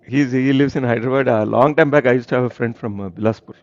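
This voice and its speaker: A man's voice: mid-range voice, steady tone, fast, no room sound, highs cut off. A man from India hosting an online reading group, chatting casually, laughing often and hesitating with many fillers.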